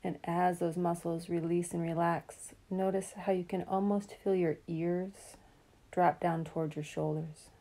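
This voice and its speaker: Calm lady doing guided meditation, really mellow n relaxed voice, pretty flat tone, slow soothing phrases w pauses. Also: lowish voice.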